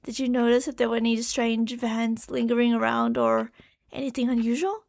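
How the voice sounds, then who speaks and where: A woman's voice: a little high, fairly even intonation, normal speed, creaky, a little mellow. A woman playing an impatient, obsessive female mad scientist in an ASMR roleplay, theatrical and condescending. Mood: brisk, evasive.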